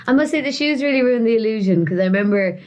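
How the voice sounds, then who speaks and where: A woman's voice: a little high, lively intonation, fast talker, a little mellow. A woman hosting a podcast, chatting casually and laughing often. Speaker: podcast host. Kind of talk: casual chat. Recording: dull lo-fi sound, slight noise.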